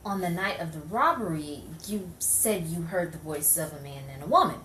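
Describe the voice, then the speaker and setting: A woman's voice: low-pitched, animated, normal speed, fairly bright tone. A woman playing a persistent, coaxing newspaper reporter in an improvised noir radio play. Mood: persuasive, firm. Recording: roomy, distant mic with faint noise.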